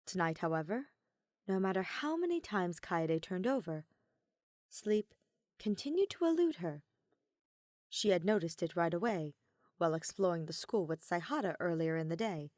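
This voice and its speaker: A woman reading fan fiction aloud with calm, steady storytelling. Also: lowish voice, normal speed, no echo, studio quality. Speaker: podfic reader. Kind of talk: scripted fiction narration.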